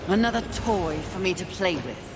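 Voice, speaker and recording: A woman's voice: on the low side, lively intonation, normal speed, close to an everyday voice. A woman playing a proud, fierce, impatient horseman of the apocalypse in a game cutscene, curt, scornful and commanding. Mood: sarcastic. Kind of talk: video game cutscene acting. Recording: long cavernous reverb. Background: music.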